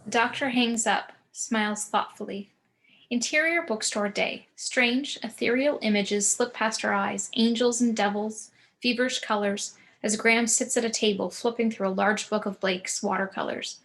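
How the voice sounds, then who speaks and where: A woman reading a screenplay's action descriptions as narrator, in a measured, descriptive way. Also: a little high, steady tone, a bit bright. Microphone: video call.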